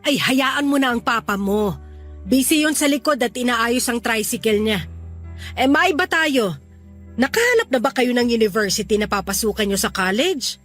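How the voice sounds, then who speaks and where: Chatty, fairly high-voiced Filipino mother. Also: expressive, normal speed, a bit bright. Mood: casual, curious. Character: casual, chatty mother of a student. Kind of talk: Tagalog radio drama acting. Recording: music bed under the voice.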